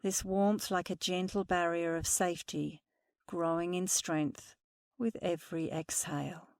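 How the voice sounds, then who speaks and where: A woman meditation instructor speaking softly and soothingly through a guided meditation. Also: on the low side, steady tone, dry recording. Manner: slow, soothing, soft-spoken guidance.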